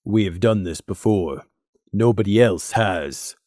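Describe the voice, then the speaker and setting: Woman voicing a stern, measured pony officer. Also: rumbling low, mellow, dry recording, studio quality, pitched below the performer's own voice. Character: stern dutiful pony officer, cautious leader. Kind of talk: fan fiction audiobook character voice.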